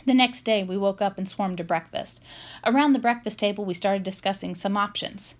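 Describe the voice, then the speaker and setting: A woman's voice: mid-range voice, a little fast. A woman reading a first-person story aloud as scripted narration, in the manner of a book read to listeners.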